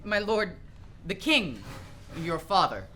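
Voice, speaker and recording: A woman's voice: fairly low pitch, big pitch swings, takes it slowly, fairly bright tone, slight background noise, exaggerated voice. A woman playing a loyal, earnest young friend of a prince, speaking respectfully in a Shakespeare radio play. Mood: earnest, urgent.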